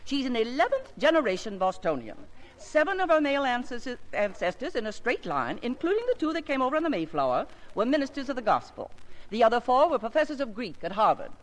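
A woman's voice: on the high side, big pitch swings. A woman playing a blunt, commanding older society aunt in a 1950s radio drama. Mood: stern, haughty. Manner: brisk, commanding, testing.

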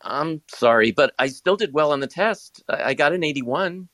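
A man's voice: fairly high pitch, animated, normal speed, fairly bright tone, no echo. A man playing a shy, imaginative schoolboy in an audio drama, with a hesitant manner. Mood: sheepish. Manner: hesitant, apologetic. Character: shy, dreamy schoolboy who draws comics.

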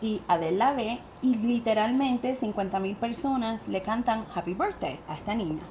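A woman with a Puerto Rican accent, a guest medium telling her story in an animated interview. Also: a little high, moderate pace, a little hiss or hum. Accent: Puerto Rican Spanish. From Puerto Rico.

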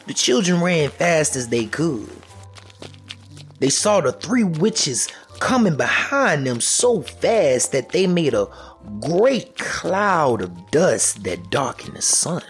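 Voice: medium pitch, very animated, slow. A storyteller retelling a folk tale in a folksy, dramatic way, with music behind. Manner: folksy, dramatic, repetitive chanting delivery.

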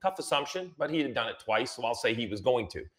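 A man's voice: medium pitch, animated, fast, fairly bright tone. A man giving conversational motorsport analysis, emphatic and repeating phrases to drive points home.

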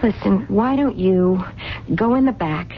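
An actress playing a hopeful working-class waitress in a radio drama, with colloquial diction and an earnest, pleading way of talking. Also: female voice, medium pitch, a little slow, lightly acted. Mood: tender, hopeful. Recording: dull, noisy 1970s radio recording.

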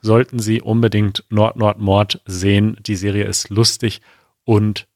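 A man co-hosting a podcast in relaxed casual chat, often hesitating and searching for words. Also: lowish voice, measured pace, no echo, clean studio sound. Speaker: podcast co-host.

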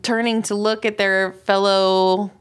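A woman co-hosting a podcast in relaxed, unscripted conversation, supportive and agreeable as she chimes in. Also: medium pitch, unhurried, clean tone. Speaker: podcast co-host and show creator. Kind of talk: casual, unscripted podcast chat.

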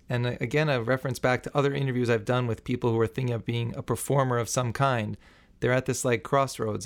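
A man's voice: medium pitch, clear, resonant tone. A man hosting an interview, asking curious questions with long setups. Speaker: interview host. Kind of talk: conversational interview.

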